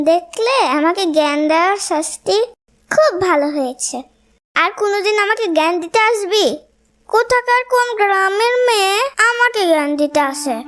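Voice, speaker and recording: A woman's voice: very high-pitched, expressive, moderate pace. A woman voicing a haughty, spiteful young wife in a cartoon melodrama, scolding and domineering. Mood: gloating, scornful. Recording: music under the voice.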